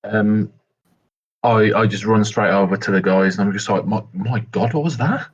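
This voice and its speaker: A man's voice: lowish voice, normal speed, slightly rough, muffled recording. A man playing a tabletop roleplay game, chatting casually and hesitantly, sometimes speaking in character.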